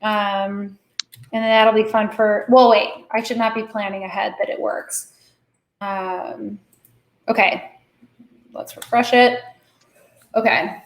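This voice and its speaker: A woman hosting a live coding stream, slightly hoarse, talking herself through the code with lots of fillers. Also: medium pitch, steady tone, slow pace, long pauses. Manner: thinking aloud while coding, many fillers. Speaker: host. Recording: echoey room.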